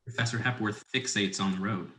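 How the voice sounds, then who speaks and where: Low-voiced male narrator, roomy sound. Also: fairly even intonation, brisk, sharp tone. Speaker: narrator reading stage directions. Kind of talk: scripted narration.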